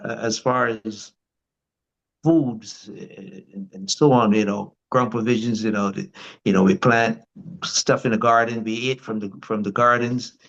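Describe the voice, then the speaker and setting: A man's voice: on the low side, steady tone, measured pace, fairly bright tone. Older Barbadian man, rambling and muffled. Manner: rambling, many restarts and "you know". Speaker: guest. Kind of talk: casual life-story interview.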